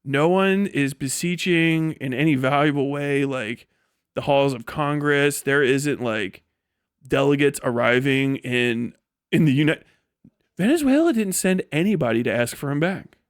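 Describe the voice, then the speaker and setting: Higher-voiced man riffing on a livestream. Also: a little slow, smooth, no room sound, clean studio sound. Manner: animated slangy delivery, acting out imagined dialogue; often chuckles mid-sentence. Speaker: livestream host and political commentator. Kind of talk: casual, rambling live commentary.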